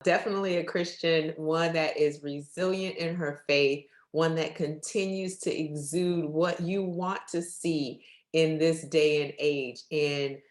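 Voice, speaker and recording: Lower-voiced woman host, super enthusiastic faith podcast vibe, echoey room. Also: fairly even intonation, unhurried. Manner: enthusiastic, preacherly.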